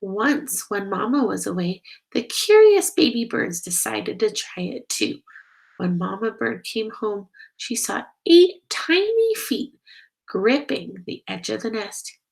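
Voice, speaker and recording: A woman reading a children's picture book aloud, expressive and slow, stopping to ask the listeners questions. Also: mid-range voice, gravelly, low-quality recording. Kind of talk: children's read-aloud narration.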